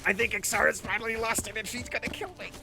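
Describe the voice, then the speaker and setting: An actress playing a smug, needling team leader and unbothered sibling in an audio drama. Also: female voice, a little high, fairly even intonation, quick pace, vocal fry, over music. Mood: strained.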